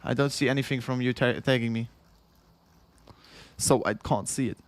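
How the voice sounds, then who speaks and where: A man's voice: mid-range voice, steady tone, moderate pace. A young Dutch man with a Dutch accent, hosting a long crypto livestream in casual chat, rambling and repeating himself, tired after hours on air. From Netherlands. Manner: rambling, repeats himself, chuckles often, reads chat aloud.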